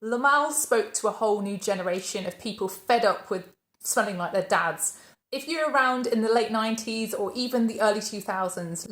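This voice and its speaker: A woman's voice: mid-range voice, a bit bright, reverberant. A woman, hosting her channel and narrating a life story in a scripted way, posing questions and then answering them. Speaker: channel host.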